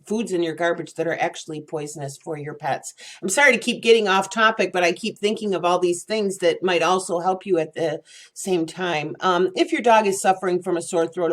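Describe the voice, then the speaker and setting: Woman, fairly low voice, casual pet advice talk, rambling with fillers. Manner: rambling, chatty, many fillers and restarts. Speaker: pet care channel host.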